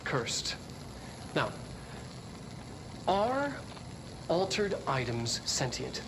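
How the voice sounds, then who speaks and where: A man playing a cryptic narrator in a horror video, explaining cursed objects in a measured, clinical way. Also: medium pitch, big pitch swings, creaky, noisy background, full character voice. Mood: ominous, clinical.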